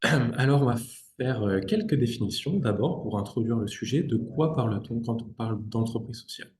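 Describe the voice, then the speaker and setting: Man, southwestern French accent, mellow, roomy sound, online trainer, inviting discussion. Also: medium pitch, lively intonation, normal speed. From southwest France. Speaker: trainer, sales and strategy expert. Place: indoors, on a video call.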